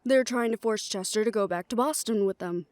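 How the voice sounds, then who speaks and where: A woman's voice: medium pitch, fairly quick, darkish tone, no room sound, close to an everyday voice. A woman playing a protective, outspoken young woman, a loyal friend, earnest and direct in an audio drama. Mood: concerned.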